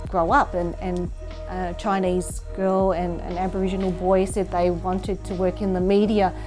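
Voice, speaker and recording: A woman's voice: on the low side, flat delivery, moderate pace, music in the background. A middle-aged woman with an Australian accent, telling personal stories casually as an interview guest. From Perth, Australia. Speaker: interview guest, children's TV presenter. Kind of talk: casual anecdotal storytelling.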